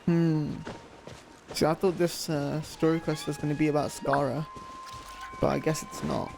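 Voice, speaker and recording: A man's voice: a little high, little pitch movement, normal speed, vocal fry, low-quality recording. A man streaming a game with casual, offhand commentary, half talking to himself. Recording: game music behind the voice.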